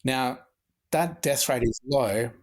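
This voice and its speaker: Measured Australian man explaining medicine. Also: mid-range voice. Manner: measured, methodical, with frequent restarts. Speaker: emergency physician guest. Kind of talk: podcast interview, explanatory.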